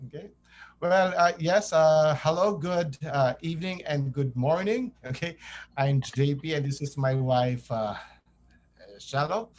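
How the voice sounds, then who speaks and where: A man's voice: a little high, unhurried, darkish tone. A man from the Philippines with a Filipino accent, answering casually as an interview guest. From Cebu, Philippines.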